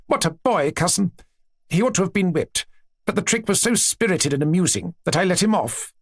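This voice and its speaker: A lively, talkative aristocratic lady who presses her views as though always being argued with, as a male reader puts her on. The voice rides up high and swings animatedly, with a slightly husky grain. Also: normal speed, dry recording, clean studio sound. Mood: vivacious. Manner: vivacious, insistent, talks as if contradicted. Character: chatty aristocratic woman, princess, strict mother.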